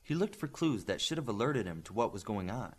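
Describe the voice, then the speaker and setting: A man reading scripted fiction aloud as an audiobook narrator, in an expressive, animated manner. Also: on the low side, a little fast, husky.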